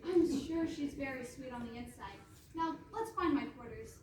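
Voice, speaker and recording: A woman's voice: high-pitched, animated, moderate pace, a little mellow. A woman playing a young princess who is sweet, naive and entitled, in a comic stage play. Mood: sweet, eager. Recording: echoey hall, distant mic, clear noise.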